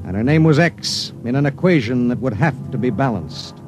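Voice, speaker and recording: A man's voice: medium pitch, expressive, normal speed, lightly acted. A man acting a dutiful, troubled spaceship pilot, in mid-century radio drama style. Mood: somber. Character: male emergency spaceship pilot, dutiful and troubled, narrating his story. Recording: old radio drama with music behind.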